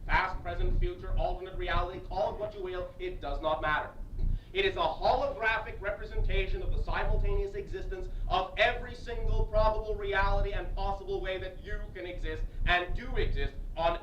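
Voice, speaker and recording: A man channeling an alien entity who lectures on metaphysics with emphatic, staccato stresses and deliberate repetition. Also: sits high, a bit bright. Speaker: channeler addressing a live group. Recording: echoey far-off 1980s cassette, faint hiss.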